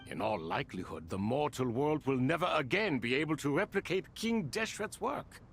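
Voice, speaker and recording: An actor playing an ancient, grand and wry elder in a video game, speaking in theatrical, courtly phrases. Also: male voice, medium pitch, animated, normal speed, husky. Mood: grand, solemn. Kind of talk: game voice acting.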